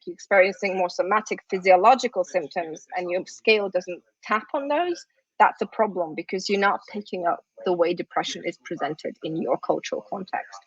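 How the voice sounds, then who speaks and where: A woman's voice: medium pitch, fairly bright tone, breathy edge, no echo. A woman whose first language is Russian gives an academic workshop talk on a video call, conversational and often checking in with "right?". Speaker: workshop presenter. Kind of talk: academic lecture. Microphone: computer microphone on a video call. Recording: dull, lo-fi online conference audio.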